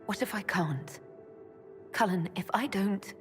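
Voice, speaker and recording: An actress playing a gentle, steadfast woman leader in love in a fantasy game, soft, measured and reassuring. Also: medium pitch, close to an everyday voice. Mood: worried. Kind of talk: dramatic video game voice acting. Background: orchestral game music.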